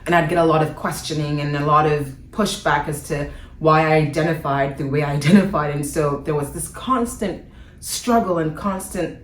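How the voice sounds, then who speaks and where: A Nigerian-born woman with an accent that blends American and Nigerian English, a guest telling her story candidly with quick asides. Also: sits low. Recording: echoey room.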